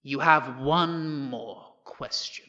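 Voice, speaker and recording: Smug, high-voiced bullying Prime Minister. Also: male voice, slow pace, pushed and bright, dull audio. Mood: gloating. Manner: mocking, theatrical, condescending. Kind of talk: audio drama acting.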